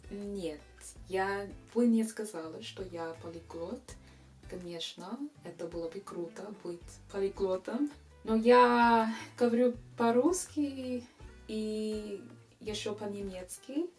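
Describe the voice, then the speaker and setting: Slow, careful English woman learner. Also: mid-range voice, darkish tone, faint music behind. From England. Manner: slow, careful learner's speech with frequent hesitations. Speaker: vlogger answering viewer questions. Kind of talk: casual Q&A vlog.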